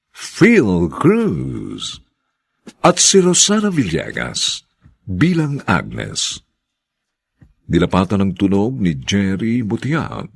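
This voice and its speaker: Deep gravelly male radio drama announcer. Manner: theatrical, drawn-out phrases. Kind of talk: dramatic scripted narration.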